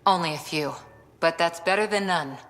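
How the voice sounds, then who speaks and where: A woman acting a tough young lieutenant in a sci-fi game cutscene. Her voice is on the low side and earnest, carried in a long reverb. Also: normal speed, a bit bright, close to an everyday voice. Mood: eager. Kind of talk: video game cutscene dialogue.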